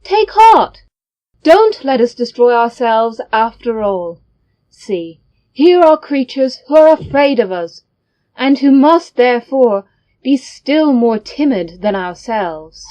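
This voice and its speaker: A woman's voice: fairly high pitch, sing-song, slow, mellow, rough recording. A woman voicing a wise older hare from a storybook fable, urgently exclaiming to encourage its companions. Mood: urgent, rallying.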